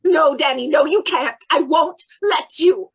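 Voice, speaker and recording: A woman acts a very high-pitched, distraught older mother in a radio melodrama. She speaks slowly and hesitantly, pleading and repeating herself. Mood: desperate pleading. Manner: hesitant, repeating words, imploring. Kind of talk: old-time radio drama acting.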